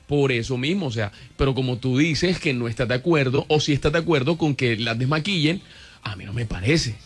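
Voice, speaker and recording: A man, a radio host in casual, animated banter who exclaims and teases. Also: medium pitch, a little fast, slightly rough. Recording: music bed behind.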